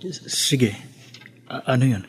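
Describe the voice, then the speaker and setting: A man's voice: mid-range voice, expressive, slow, husky, exaggerated voice. A man playing a mild, conciliatory young husband and dutiful son in a Filipino radio drama, speaking in a hesitant, soothing way.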